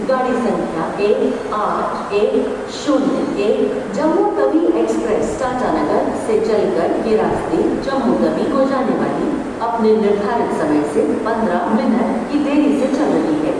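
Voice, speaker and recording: A woman with an Indian accent reading formal, segmented train announcements over a railway station loudspeaker. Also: a little high, distant mic. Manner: formal, segmented automated phrasing. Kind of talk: scripted public address train announcements. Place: railway station public address system. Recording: echoey station loudspeaker from afar, noisy ambience.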